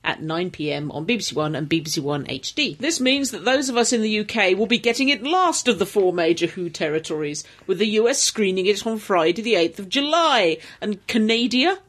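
A British woman with a mid-pitched voice co-hosts a podcast. She reads news items in a casual way and drops in dry, wry remarks. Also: lively intonation, brisk, few pauses. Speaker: podcast co-host.

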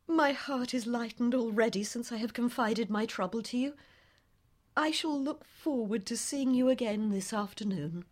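Woman acting a polite, high-voiced troubled lady. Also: moderate pace, darkish tone, natural voice. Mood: earnest, relieved. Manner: formal, earnest. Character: troubled, polite young lady confiding in a detective. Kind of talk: audio drama acting.

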